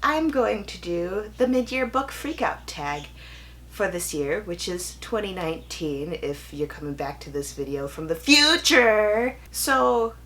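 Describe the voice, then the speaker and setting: A young woman talking casually to viewers as a book vlogger, with animated emphasis and wry, self-deprecating asides. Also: medium pitch, unhurried. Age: young adult. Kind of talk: casual chatty video to viewers.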